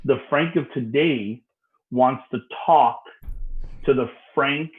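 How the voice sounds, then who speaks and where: A man in his forties speaks with an emphatic, animated drive, leaning hard into each point and breaking into laughter easily. His voice sits in an ordinary middle pitch. The audio is a little dull, as if the highs are rolled off. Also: slow pace, slight background noise. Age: 40s. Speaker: podcast guest, business mentor. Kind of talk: casual podcast conversation.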